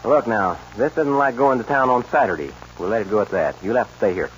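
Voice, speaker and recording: An actor playing a brisk, commanding cowboy ranch foreman in a 1940s radio western style. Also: on the low side, expressive, soft background music, lightly acted. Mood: firm. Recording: dull, noisy old radio recording.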